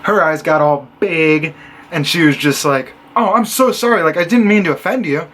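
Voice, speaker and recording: A young man from Illinois talks to the camera in a casual vlog. His voice sits high for a man. He tells his stories with animated sarcasm and acts out what other people said. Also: normal speed. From Illinois, USA. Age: young adult. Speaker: college student vlogger.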